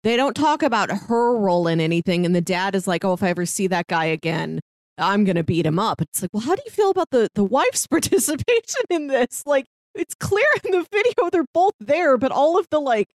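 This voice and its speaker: A woman's voice: a little high, big pitch swings, normal speed, dry recording, studio quality. A woman co-hosting a podcast, discussing film casually and conversationally, often peppering her sentences with "like". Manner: conversational, frequently says like. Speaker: podcast co-host.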